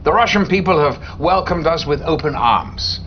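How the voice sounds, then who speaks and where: A man's voice: fairly high pitch, expressive, normal speed, slight background noise. A male actor playing a complacent politician in a video game, giving glib reassurances as if to the press. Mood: glib, reassuring. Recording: dull lo-fi game audio.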